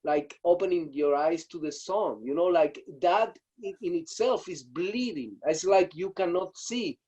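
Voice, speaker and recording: A man joining a video call discussion, searching for his words with many halting fillers. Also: sits high, dark tone, breathy edge. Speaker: audience participant. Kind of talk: spontaneous reflective remarks.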